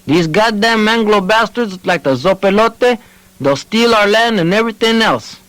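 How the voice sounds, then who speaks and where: A nervous, hot-headed village man, acted by a male reader in an audiobook novel. His croaky voice is agitated and pitched very high, in a dry recording. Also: expressive, normal speed, a bit bright, dry recording, rough recording. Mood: nervous anger. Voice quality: croaky, screaming when roused.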